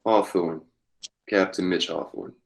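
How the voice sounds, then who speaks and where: Man playing deep-voiced, halting army captain. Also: steady tone, vocal fry. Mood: weak, dazed. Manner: halting, repeats words. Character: halting, scheming army captain in the old West. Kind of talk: dramatic table read of a western.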